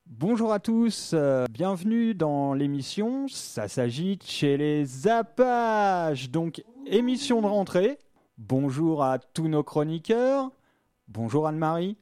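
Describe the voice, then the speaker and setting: A man's voice: fairly high pitch, big pitch swings, slow, dark tone, smooth. A man hosting a casual local radio show from a studio, chatty and leisurely, with plenty of fillers as he introduces his columnists. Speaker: radio host.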